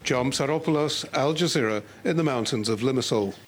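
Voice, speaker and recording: A man's voice: medium pitch, brisk, a little hiss or hum. A man narrating a scripted television news report as a correspondent.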